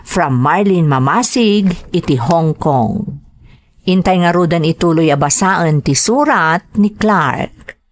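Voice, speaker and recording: A woman's voice: lowish voice, lively intonation, slow pace. A woman with an Ilocano accent hosting a drama podcast, reading greetings and narrating letters from a script.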